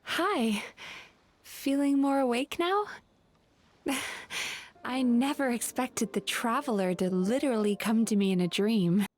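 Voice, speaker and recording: An actress playing a gentle, apologetic young female yokai counselor in a video game, speaking softly and politely. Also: on the high side, measured pace. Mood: friendly, explaining. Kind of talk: scripted video game voice acting. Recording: music under the voice.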